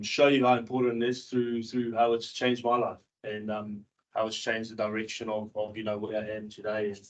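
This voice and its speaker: A man from South Africa who moved to New Zealand in his teens, giving a candid, informal personal talk to an audience in a halting, repetitive way. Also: on the low side. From South Africa, moved to New Zealand in his early teens. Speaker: seminar speaker, cricket coach and former professional cricketer. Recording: dull, muffled seminar recording.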